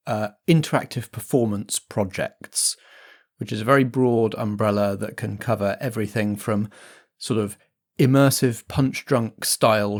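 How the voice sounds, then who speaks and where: A male compere speaks straight to the audience with chatty programme announcements. His voice has a medium pitch. He presents in an informal, explanatory way. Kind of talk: chatty scripted announcements to listeners.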